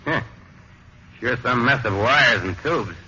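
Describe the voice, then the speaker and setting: An American actor playing a plain, offhand night security guard in a 1950s radio drama. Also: male voice, medium pitch, expressive, slow pace, sharp tone, full character voice. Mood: casual, mildly curious. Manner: folksy, offhand. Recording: muffled, noisy vintage radio recording.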